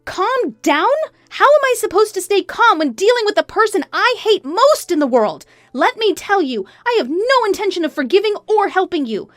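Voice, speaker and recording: Woman playing a fed up scornful wife, sarcastic, high voice, dramatic storytime. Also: very animated, normal speed, no room sound, faint music behind. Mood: angry. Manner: sarcastic, forceful. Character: indignant, scornful betrayed wife and mother.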